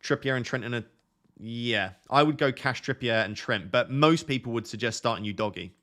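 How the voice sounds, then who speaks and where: A man's voice: medium pitch, moderate pace, a bit bright. Soothing young man streaming chat. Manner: reads viewer questions aloud and answers them; self-described relaxing, soothing voice. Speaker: fantasy football streamer. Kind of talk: casual live stream chat.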